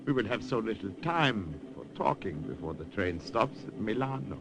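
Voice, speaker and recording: A man's voice: lowish voice, very animated, moderate pace. A man with a German accent voicing a genial, probing German field marshal, acted in 1940s radio style. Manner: genial yet interrogating, courtly. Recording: hissy old radio broadcast.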